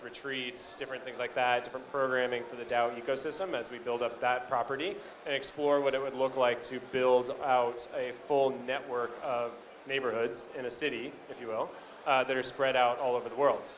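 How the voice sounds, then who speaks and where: A man's voice: mid-range voice, flat delivery, fairly quick, fairly bright tone. A man speaking casually as a panelist, introducing his work to a live audience. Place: live stage event. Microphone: stage microphone. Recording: audience murmur at live event.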